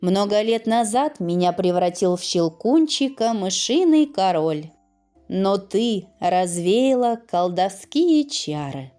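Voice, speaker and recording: Woman playing a brave fairytale toy soldier prince, courtly and grand, slow, faint music behind. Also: medium pitch, animated, dark tone. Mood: grateful, courtly. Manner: courtly, declamatory.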